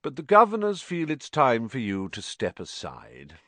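A sneering male aristocrat acted by an audiobook reader, oily and polite. He takes his time over every phrase, his pitch sliding up and down with smug menace. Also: very animated, measured pace, dry recording. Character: sneering, smooth, lazily menacing aristocratic man. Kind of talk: audiobook character acting.

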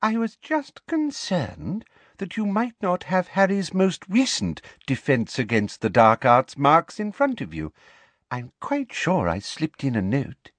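A man's voice: fairly high pitch, wildly swooping pitch, measured pace, a bit bright, dry recording, pitched above the performer's own voice, exaggerated voice. A man voicing a falsely sweet, meddling woman official in an audiobook, honeyed, simpering and over-polite. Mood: politely needling.

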